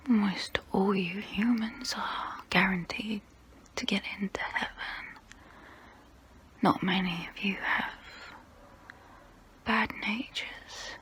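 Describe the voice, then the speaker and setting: A guilt-ridden vampire woman, acted out for an ASMR role play confesses in a breathy whisper right up against the mic. Her delivery is very slow and deliberate, with a crisp, bright edge to every hushed phrase. She sounds tormented by her own hunger. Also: mid-range voice, fairly even intonation. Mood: wistful, resigned. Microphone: close-up microphone.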